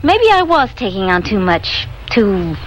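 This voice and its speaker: A woman's voice: medium pitch, big pitch swings, measured pace. An actress playing an earnest, vulnerable married woman in therapy, speaking with emotional, hesitant phrasing in a vintage radio drama. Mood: relieved. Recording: hissy vintage radio broadcast recording.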